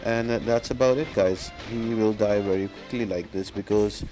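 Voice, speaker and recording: A man's voice: lowish voice, steady tone, normal speed, dark tone, faint music behind. A man giving casual, instructional commentary as he explains a gameplay walkthrough step by step. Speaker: game guide video host.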